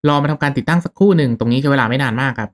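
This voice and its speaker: A man hosting a casual software tutorial, talking through each step in a polite, instructional manner. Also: mid-range voice, very fast.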